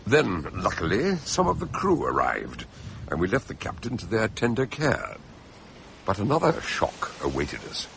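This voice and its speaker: A cautious gentlemanly doctor telling a mystery in a radio play. His low voice moves very expressively in pitch, with some creak. He sounds grave, measured and a little anxious. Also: wildly swooping pitch, a little hiss or hum, exaggerated voice. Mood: storytelling. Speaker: narrator and character. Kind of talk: radio drama narration and dialogue.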